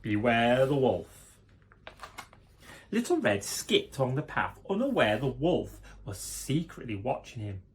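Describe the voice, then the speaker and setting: Man, children's storyteller, very lively intonation, expressive, teacherly, speaking to small children. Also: medium pitch, takes it slowly. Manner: expressive, addressing young children. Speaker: guest reader, primary school teacher. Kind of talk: animated children's story reading.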